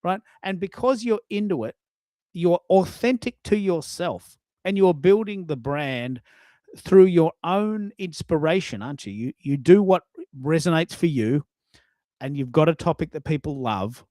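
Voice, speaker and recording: A man's voice: on the high side, animated, unhurried, warm tone, no echo. A man hosting a casual live audio discussion, talking in an effusive, storytelling way with emphatic asides. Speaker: host of a live social audio show.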